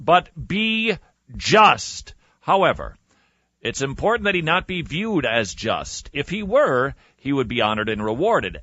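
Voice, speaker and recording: Animated, bright-voiced man telling a story. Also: a little high, no room sound, muffled recording. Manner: theatrical, emphatic delivery with dramatic pauses. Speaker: radio and podcast host. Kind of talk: animated storytelling with reading and commentary.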